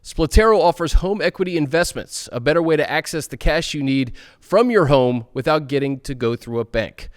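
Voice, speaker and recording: A man's voice: a little high, animated, moderate pace, hardly any pauses, dry recording, clean studio sound. A man reading a scripted sponsor message as an advertisement announcer.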